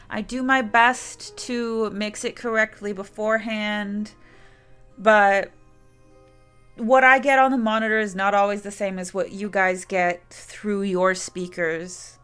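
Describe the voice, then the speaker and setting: A woman's voice: mid-range voice, steady tone, a little slow, sharp tone, clear, resonant tone, faint music behind. A woman streamer chatting casually to her viewers, rambling and often restarting her sentences. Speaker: game streamer.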